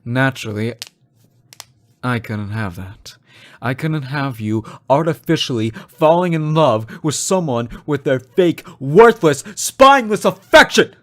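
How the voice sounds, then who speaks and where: A man playing an obsessive, lovesick fallen angel in a dramatic audio roleplay, theatrical and halting, bitter and unhinged. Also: mid-range voice, animated. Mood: scornful, heated. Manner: theatrical, halting, sighing, swinging from hushed to frantic. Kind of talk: dramatic audio roleplay monologue addressed to a lover.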